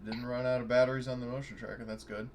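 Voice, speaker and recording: A man's voice: medium pitch, little pitch movement, fast. A man playing a tabletop horror game, chatting and thinking aloud about tactics. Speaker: player. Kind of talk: tabletop play over voice chat.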